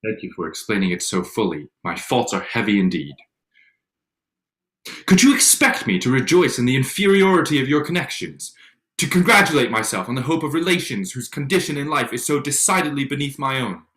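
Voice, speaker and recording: An actor playing a proud, stiff Regency gentleman and awkward suitor in a staged reading, with formal, halting delivery. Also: medium pitch, sing-song. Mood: scornful. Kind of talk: staged reading of a period drama. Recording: echoey room over online call.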